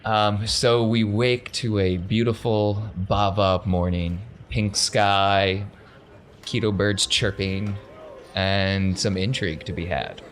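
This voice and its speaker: A man's voice: lowish voice, steady tone, takes it slowly, a bit bright. A man casually narrating a tabletop role-playing game as game master. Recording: faint background noise.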